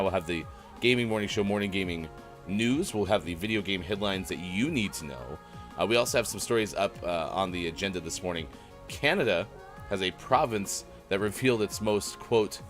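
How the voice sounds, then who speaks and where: A man hosting a live morning show in easygoing, self-mocking banter, his voice a bit rough today. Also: deep voice. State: slightly rough, gruff voice. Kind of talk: casual live-stream morning show chat.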